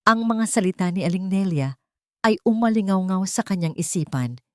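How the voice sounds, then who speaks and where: A woman's voice: medium pitch, expressive, moderate pace, no echo, clean studio sound. A woman reading a scripted love story as a dramatic narrator.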